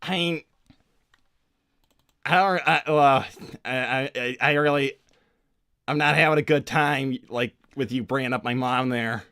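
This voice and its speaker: A man's voice: medium pitch, unhurried, pauses often, sharp tone, clear, resonant tone, dry recording, studio quality. A man voicing a flustered, insecure young cartoon hedgehog hero, stammering and hesitant, in an improvised roleplay. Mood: defensive, embarrassed. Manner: stammering, hesitant, self-deprecating. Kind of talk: improvised in-character livestream roleplay.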